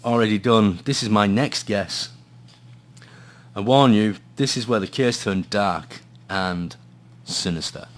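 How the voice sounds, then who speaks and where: A man's voice: on the low side, slow. An actor playing a gloomy hard-boiled male detective, narrating and talking in a noir radio play with brooding drama. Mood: puzzled, uneasy.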